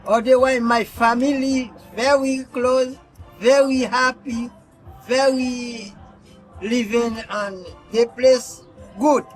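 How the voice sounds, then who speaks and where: Haitian accent man, middle aged, slow halting english, super high pitched, bit of music behind. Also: steady tone, poor audio. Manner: halting non-native English. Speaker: local interviewee. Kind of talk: casual street chat.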